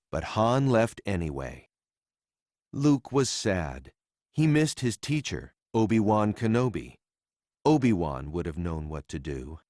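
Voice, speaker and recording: A man narrating a children's read-along story in a simple, deliberate storytelling manner for young readers. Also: lowish voice, darkish tone, dry recording, clean studio sound.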